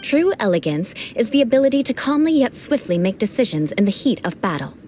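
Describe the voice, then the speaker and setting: A haughty aristocratic knight. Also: female voice, a little high, very animated, a little fast, mellow, a little hiss or hum. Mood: cool. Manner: formal, haughty, dryly teasing. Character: proud aristocratic young woman knight, cool and teasing. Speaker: mentor in a game. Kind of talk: game cutscene voice acting.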